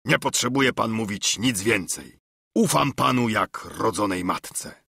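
Wily pirate cook, read by a man: husky, wheedling, lively swings in pitch, audiobook. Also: unhurried, dry recording, studio quality, full character voice. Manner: wheedling, bargaining. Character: wily middle-aged male pirate cook, smooth-talking. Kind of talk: audiobook character reading.

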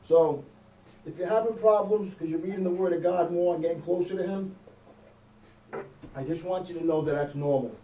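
A man's voice: sits high, normal speed, long pauses, dark tone, a little hiss or hum. Man preaching from far in an echoey hall. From United States. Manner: conversational preaching, frequent "okay?" and "amen?" checks. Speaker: pastor. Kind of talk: sermon. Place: small church hall with wood-paneled wall. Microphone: far camera, no visible mic.

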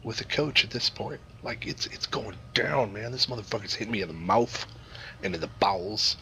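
A man's voice: fairly low pitch, lively intonation, moderate pace, gravelly, pushed and bright, slight background noise. A man improvising a crude comic story to a group, swearing freely and rambling in a mock-serious way. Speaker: storyteller, host.

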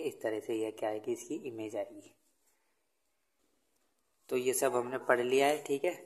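A man teaching in Hindi mixed with English, answering his own questions, and reading English lines out slowly. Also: mid-range voice. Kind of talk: Hindi-English tutorial over notebook. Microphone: phone camera over notebook.

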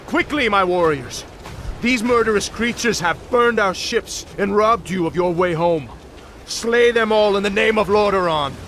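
An actor playing a proud, vengeful young warrior prince in a fantasy game, commanding and intense. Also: male voice, sits high, a little slow, a little hiss or hum. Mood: rallying cry. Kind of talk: fantasy video game cutscene acting. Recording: loud game music behind the voice.

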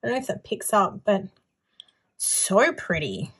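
A woman's voice: mid-range voice, huge pitch swings, measured pace, a bit bright, husky. A young Australian woman chatting casually and informally as she shows off a shopping haul, with a gushing manner. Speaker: planner haul vlogger. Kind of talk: casual chatty product show-and-tell.

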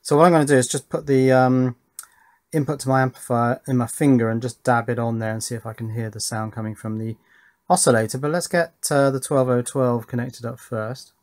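A man's voice: medium pitch, fairly even intonation, a little fast, darkish tone. A man giving casual hands-on commentary as an electronics hobbyist, thinking aloud while he works. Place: indoors at a workbench.

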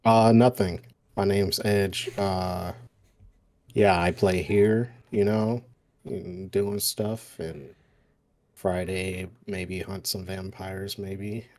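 A man's voice: sits low, fairly even intonation, very slow, a little mellow, dry recording. A man player introducing himself briefly with hesitant, laconic replies.

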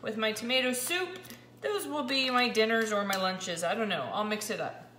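A woman's voice: fairly high pitch, moderate pace. Chatty woman in an echoey kitchen. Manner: chatty, excitable, talks while handling a meter. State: slightly scratchy voice. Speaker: keto vlogger. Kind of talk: casual chat to camera. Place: kitchen at home, indoors. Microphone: camera pointed down at the countertop. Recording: echoey kitchen with clear background noise.

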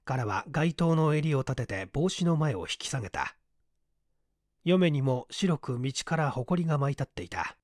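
A man's voice: fairly high pitch, very animated, unhurried, a little mellow, dry recording, studio quality. A man reading a literary mystery story aloud as scripted, dramatic audiobook narration. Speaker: audiobook narrator, stage actor.

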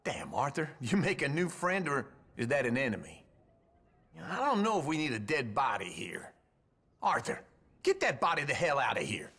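An actor playing a grumbling older outlaw camp loafer in a western video game, with a gravelly American drawl. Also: male voice, medium pitch, wildly swooping pitch, moderate pace, pushed and bright, exaggerated voice. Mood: irritable.

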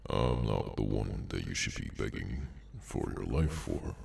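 A man voicing a weary, menacing demonic henchman in an ASMR audio roleplay, sardonic and low-key, with a gravelly voice. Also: lively intonation, a little mellow, exaggerated voice. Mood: matter-of-fact menace. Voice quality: very deep, gravelly, creaky. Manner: slow, low-key, casually sinister, sardonic.

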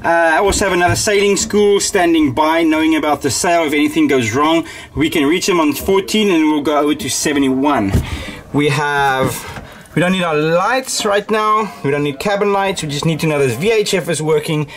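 A man's voice: on the high side, moderate pace. A man with a South African accent hosting a casual sailing vlog, chatting playfully and teasingly with his guests. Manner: playful, teasing, often adds "eh". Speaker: sailing skipper and vlog host. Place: small wooden sailboat cabin. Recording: noisy handheld camera on a boat.

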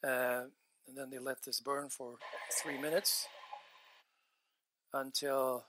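A man's voice: medium pitch, flat delivery, slow pace, noisy background. A man in his forties giving an earnest technical talk on a headset mic in a large conference hall, gesturing as he speaks and often restarting his phrases. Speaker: conference presenter.

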